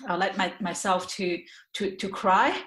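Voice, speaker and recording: A woman's voice: mid-range voice, steady tone, a little slow, fairly bright tone, slight background noise. A woman speaking as an interview guest, reflective and candid, often repeating words and phrases as she searches for the right ones. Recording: roomy, echoey sound.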